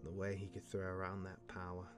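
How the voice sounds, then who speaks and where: A man playing a cursed demigod, a wry mentoring older brother who speaks in measured, dramatic pauses in an audio drama. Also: deep voice, monotone, music in the background, natural voice. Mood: wry, solemn. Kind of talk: dramatic audio roleplay dialogue.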